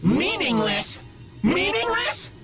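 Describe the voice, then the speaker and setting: Casting: crazed battle-hungry game villain, obsessive, sing-song, drawn-out delivery with huge pitch swings, music behind. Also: male voice, very animated, vocal fry, exaggerated voice. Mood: frenzied rage. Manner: theatrical, maniacal, sing-song taunting. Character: deranged, battle-hungry male villain obsessed with his rival. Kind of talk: anime video game voice acting.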